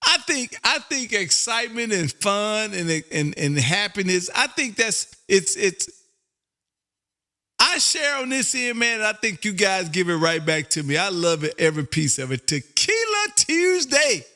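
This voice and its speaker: An exuberant, folksy American man with a gravelly voice, chatting casually on air as a ham radio host and repeating his catchphrases. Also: high voice, sing-song, measured pace, bright, forceful tone. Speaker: amateur radio operator and livestream host.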